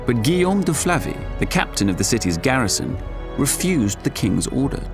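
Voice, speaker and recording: Solemn storyteller over music. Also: male voice, mid-range voice, big pitch swings. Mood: solemn, dramatic. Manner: measured, storytelling. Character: solemn chronicler narrating a medieval war. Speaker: narrator. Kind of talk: scripted dramatic narration.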